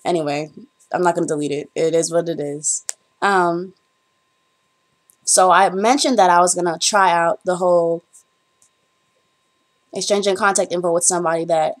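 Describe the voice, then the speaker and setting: Young female vlogger, lowish voice, chatty and rambling, fillers throughout. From Bahamas. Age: young adult. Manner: chatty, rambling storytelling with frequent ums. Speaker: vlogger, college student. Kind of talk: casual chat to camera.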